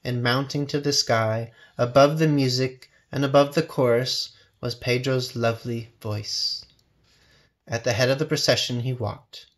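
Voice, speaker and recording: A man narrating a children's storybook with expressive, measured phrasing. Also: medium pitch. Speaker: storybook reader.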